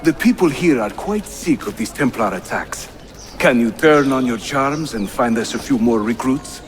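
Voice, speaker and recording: A man's voice: medium pitch, animated, moderate pace, faint music behind, natural voice. A man playing a friendly, practical assassin leader in a historical video game, speaking courteously. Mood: businesslike, friendly. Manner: courteous, businesslike. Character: affable, practical male assassin leader in Ottoman Constantinople.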